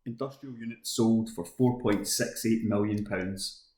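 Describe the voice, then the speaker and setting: Male volunteer news reader, flat, even intonation, scripted delivery in a roomy home recording. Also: lowish voice, unhurried. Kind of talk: scripted news reading for a talking newspaper.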